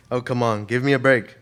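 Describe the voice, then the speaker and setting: A man's voice: medium pitch, a bit bright, clean tone, close to an everyday voice. A student actor playing an eager, easygoing male ex-treasure hunter and pilot in an amateur radio play. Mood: friendly. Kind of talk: amateur radio theater acting.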